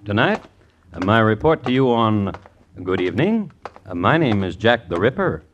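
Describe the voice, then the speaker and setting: A man hosting and narrating a vintage radio crime series in a formal, measured, dryly wry deadpan. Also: fairly low pitch, muffled recording, a little hiss or hum. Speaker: host and narrator.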